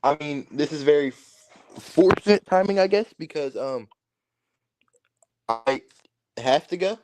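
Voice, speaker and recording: A man's voice: on the high side, expressive, unhurried, a little mellow, a little hiss or hum, poor audio. Man, co-host, short dry replies, casual stream chat.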